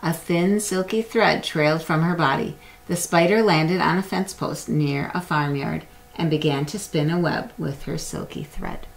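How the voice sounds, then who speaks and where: A middle-aged woman reading a picture book aloud to young children in a simple, deliberate storytelling way. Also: sits low, creaky, soft background music. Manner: simple, deliberate storytelling with acted animal sounds. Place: small indoor room at home or classroom.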